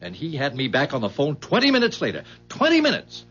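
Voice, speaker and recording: An American actor playing a hot-tempered, bullying company boss in a 1970s radio drama. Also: male voice, a little high, wildly swooping pitch, a little fast, breathy edge, faint music behind, full character voice. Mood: agitated. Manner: forceful, barking, domineering. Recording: muffled vintage radio broadcast.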